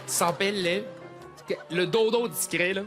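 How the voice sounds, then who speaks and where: A man improvising a comic TV ad in front of a studio audience, laughing through his lines and rambling, his voice jumping up high mid-sentence. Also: normal speed, a loud crowd. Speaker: improv comedian. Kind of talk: improvised comic ad sketch on a TV game show. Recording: loud studio audience and music.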